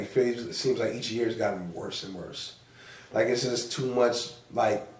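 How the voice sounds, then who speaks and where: A hoarse man chatting on a podcast as co-host, rambling, repeating himself and cursing freely. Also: on the low side, darkish tone, echoey room, recorded from a distance. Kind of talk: casual podcast chat.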